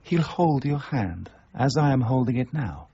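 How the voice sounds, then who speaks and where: A man in a radio drama playing a smooth-talking sham fortune-teller, slow, portentous and seductive. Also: medium pitch, animated, dark tone, full character voice. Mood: seductive, mystical. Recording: dull lo-fi radio recording, music behind.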